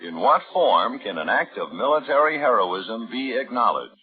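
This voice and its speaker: A man's voice: medium pitch, very animated, normal speed. A man announcing in a formal, solemn 1950s scripted radio style. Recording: tinny old radio broadcast with music.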